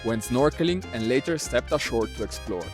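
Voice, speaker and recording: Male travel narrator, steady, scripted voice-over with salsa music underneath. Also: medium pitch. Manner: calm, measured, informative.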